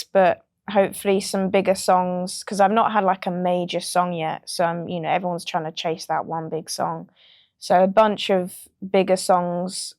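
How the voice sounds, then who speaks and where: British woman, low voice, dry sound. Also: dry recording. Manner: relaxed, chatty, frequent ums and laughs. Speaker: music producer guest. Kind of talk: casual interview chat.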